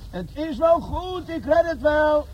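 Heel hoge, zwoegende handlanger. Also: male voice, extremely high pitch, lively intonation, measured pace, muffled recording, background noise. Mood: straining, determined. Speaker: actor in a radio play. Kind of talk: comic crime radio drama acting.

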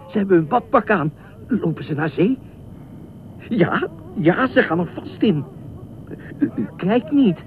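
An actor in a Dutch radio play as an anxious, obsessive widowed ex-fireman who speaks haltingly, repeats himself and nitpicks. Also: exaggerated voice. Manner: halting, repetitive, pedantic, fretful. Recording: muffled narrow-band old radio recording, faint rumble.

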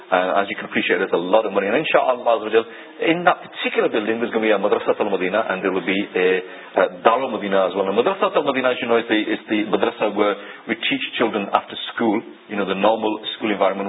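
A low-pitched man talks quickly in a conversational religious style, sprinkling in Arabic expressions and doubling back on phrases. The sound is thin and phone-like, with slight noise behind him. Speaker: religious talk show speaker. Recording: tinny phone-like broadcast audio with noise.

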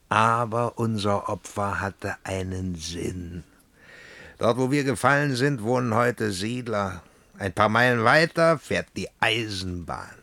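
A arrogant ghost of a cavalry officer speaks at a slow, deliberate pace with a creaky edge. He is scornful and self-righteous, recorded dry and close for a radio play. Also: fairly low pitch, a bit bright, dry recording, exaggerated voice. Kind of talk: radio drama acting.

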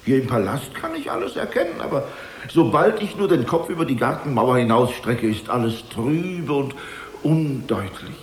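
Gullible old tsar, wide swooping intonation. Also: male voice, mid-range voice, big pitch swings, moderate pace, roomy sound, slight background noise. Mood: troubled, confiding. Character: gullible, indulgent half-blind old Russian tsar, a father. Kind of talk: children's radio play acting.

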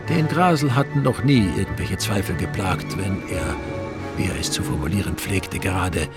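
A man's voice: sits low, normal speed. A man narrating a radio drama from a script, in a storytelling style. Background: music.

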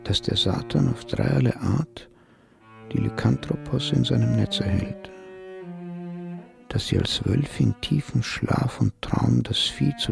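Very creaky, brooding occult reader. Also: male voice. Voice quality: deep, very creaky voice. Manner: slow, ominous, declamatory. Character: brooding, grandiose man reading aloud an archaic occult book. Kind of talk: radio play acting.

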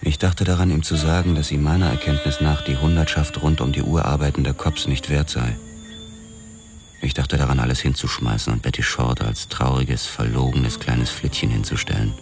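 A weary hard-boiled detective narrating a radio drama. His voice is extremely deep, and his telling is dry and sardonic. Soft music lies faintly beneath. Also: steady tone, moderate pace.

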